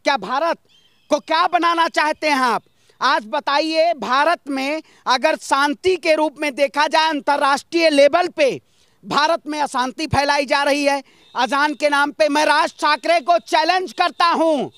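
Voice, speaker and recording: A man's voice: very high-pitched, unhurried, bright, forceful tone, no echo, clean studio sound. A man from northern India giving political opinions in an impassioned, rhetorical street-speech style, emphatic and fond of repetition.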